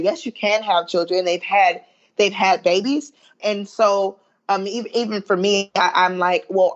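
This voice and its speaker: Southern woman with a muffled sound. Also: mid-range voice. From American South. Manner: chatty, many fillers, proud. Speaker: guest, body image coach. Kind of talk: remote podcast interview.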